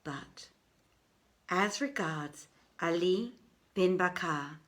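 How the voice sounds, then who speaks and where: A woman narrating an archaic tale as an audiobook reader, in a theatrical, emphatic and deliberate storytelling manner. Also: fairly low pitch.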